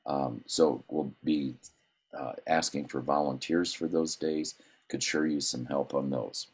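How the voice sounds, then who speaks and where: A man with an extremely low, husky voice runs through the week's club announcements. He stops and restarts often, filling the gaps with ums and uhs. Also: steady tone. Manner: halting, many ums and uhs. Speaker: club president. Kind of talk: meeting announcements.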